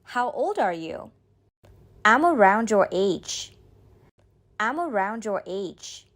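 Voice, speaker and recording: A woman reading a beginner's speaking drill, saying each line slowly and clearly, then repeating it. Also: mid-range voice, big pitch swings, fairly bright tone. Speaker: model speaker in a language drill. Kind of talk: slow scripted drill reading.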